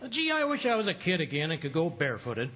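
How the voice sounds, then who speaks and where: A man's voice: a little high, wildly swooping pitch, fast, fairly bright tone, heavily acted. A man playing a blustering, folksy, fibbing middle-aged husband in an old-time radio comedy. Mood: boastful, grumbling. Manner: folksy, stammering when cornered.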